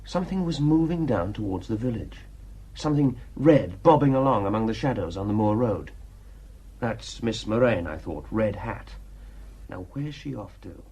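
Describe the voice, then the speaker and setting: A man's voice: lowish voice, animated, moderate pace, dark tone, slightly rough, low-quality recording. An actor playing a cynical, defiant male painter in a radio drama, telling his tale wryly and without remorse. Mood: musing.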